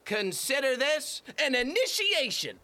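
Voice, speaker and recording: A man playing a rowdy, gleeful young troublemaker in an audio drama, excitable and jeering. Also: very high-pitched, unhurried, no room sound, exaggerated voice.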